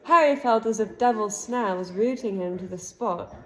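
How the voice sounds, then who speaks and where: A woman reading a chapter book aloud to a child, with expressive narration and relaxed chatty asides. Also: medium pitch, normal speed. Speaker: storybook reader.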